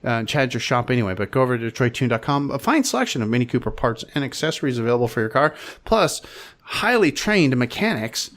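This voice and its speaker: A man's voice: mid-range voice, big pitch swings, fast, a bit bright. A man hosting a podcast in an informal, wry, rambling way, chatting casually and reading out plugs. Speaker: podcast host.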